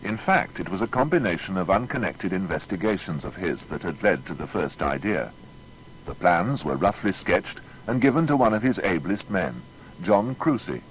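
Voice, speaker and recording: A man narrating a scripted biography as a measured storyteller. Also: sits low, very animated, slight background noise, low-quality recording.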